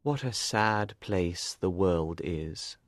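Man playing a slow, sly gentleman villain. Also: fairly low pitch, animated, no echo, studio quality, pitched above the performer's own voice. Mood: sad, quiet. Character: smooth-talking, polite, sly gentleman villain. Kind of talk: audiobook character voice.

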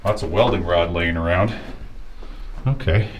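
A man with a lowish voice narrates his walk through an abandoned mine, taking his time with every word. His voice rings off the rock walls of the tunnel, with a faint hiss underneath. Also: roomy sound. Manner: slow, easygoing, talks to viewers and his companion. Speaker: mine explorer and channel host. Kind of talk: walking-tour vlog narration.

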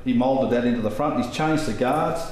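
A man's voice: mid-range voice, brisk, a little mellow. An Australian man in his sixties, a car collector chatting casually and with some hesitation about his cars in a large workshop shed. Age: 60s. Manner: rambling, hesitant, laughs easily. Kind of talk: casual interview chat. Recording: very echoey shed with noise.

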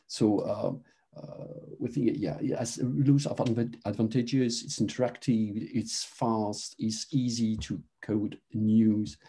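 A middle-aged man with a French accent gives a webinar talk over a video call. His voice is very dark and a little husky. He speaks haltingly and often restarts with "uh" and "um". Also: lowish voice. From France. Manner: halting, many fillers and restarts. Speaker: presenter, mobile engineering manager. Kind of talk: conference webinar presentation.

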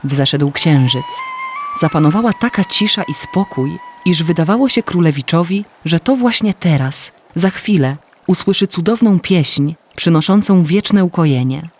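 A man narrating a scripted fairy tale as an expressive, literary storyteller. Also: on the high side, normal speed, slight background noise. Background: faint music.

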